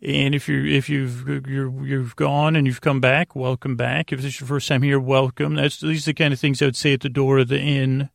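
A man hosting a bedtime sleep podcast, with a creaky voice and a gentle, silly, meandering manner full of hesitations, tangents and pauses. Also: medium pitch, level tone, no room sound, studio quality. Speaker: podcast host.

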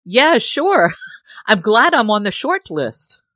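A woman's voice: fairly high pitch, sing-song, takes it slowly, fairly bright tone, no room sound. A woman co-hosting a podcast in casual chat. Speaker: podcast co-host.

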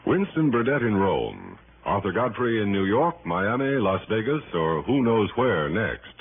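A man's voice: on the low side, sing-song, measured pace. A man reading a scripted 1960s radio network promo with polished, emphatic announcer delivery. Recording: narrow-band old radio broadcast, faint noise.

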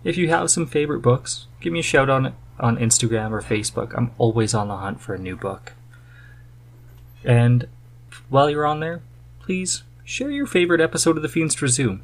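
A man's voice: medium pitch, animated, moderate pace, vocal fry. A man hosting a podcast in a casual, chatty style. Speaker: podcast host. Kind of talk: casual chat.

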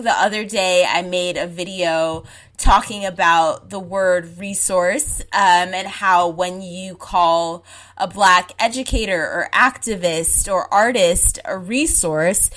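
A woman's voice: on the low side, takes it slowly, fairly bright tone. A young woman from Zimbabwe talking frankly in a casual conversation, pressing her points with emphasis and a lot of "like".

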